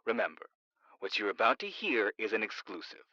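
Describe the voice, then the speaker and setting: Man as a hype-driven radio host, lively delivery, bright voice, dry lo-fi sound, faint music. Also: on the low side, brisk, dry recording, full character voice. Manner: showy, hyping the audience. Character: late-night radio talk show host.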